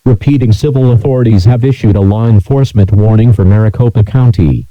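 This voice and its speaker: A man reading a scripted emergency broadcast announcement in a formal, mechanical monotone. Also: fairly low pitch, fast talker, highs cut off, noisy background.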